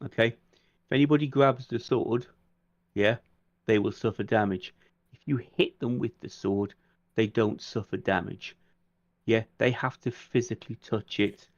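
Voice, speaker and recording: A man's voice: fairly low pitch, a little slow, highs cut off. A man acting as game master, explaining and narrating a tabletop roleplay, making sure his players follow. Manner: explanatory, checks listeners follow.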